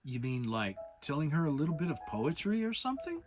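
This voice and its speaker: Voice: mid-range voice, big pitch swings, brisk, voice pushed down, close to an everyday voice. A narrator voicing a simple-hearted, humble male toy bear, bumbling and plaintive, in a children's storybook. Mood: puzzled.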